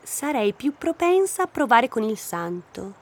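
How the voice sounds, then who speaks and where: A woman's voice: fairly high pitch, very animated, unhurried, a little mellow, full character voice. A woman playing an earnest, hopeful hiker in an audio drama, explaining things and insisting on her beliefs.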